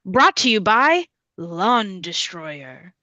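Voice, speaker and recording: Woman as a bright, swooping mock TV announcer. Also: medium pitch, slow pace, no echo, muffled recording, exaggerated voice. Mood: deadpan, announcing. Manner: deadpan salesmanship. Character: mock TV announcer with deadpan sponsor plugs. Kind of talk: scripted announcer narration for sketch comedy.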